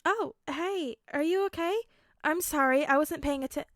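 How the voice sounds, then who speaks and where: A woman voicing a kind, gentle, apologetic teenage boy hero in an earnest, reassuring way. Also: high voice, normal speed, no echo, clean studio sound, voice pushed up, lightly acted. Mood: startled, concerned.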